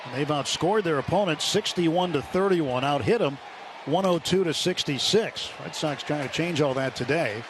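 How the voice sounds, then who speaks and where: A male radio broadcaster calls a baseball game live in a fairly high-set voice. He is giving play-by-play from the ballpark, with clear crowd noise all around him. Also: moderate pace. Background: stadium crowd and ambience.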